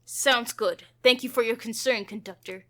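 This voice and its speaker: A woman's voice: fairly high pitch, moderate pace, pushed and bright. A woman playing a polite, apologetic man riding a train in a visual novel, in a sheepish, courteous manner. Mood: grateful. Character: polite, apologetic adult male train passenger in a suit.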